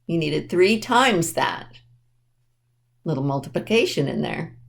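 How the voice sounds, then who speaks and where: A woman presenting a children's storytime lesson in simple words, spelling things out deliberately and checking understanding with questions. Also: deep voice, sing-song, gravelly.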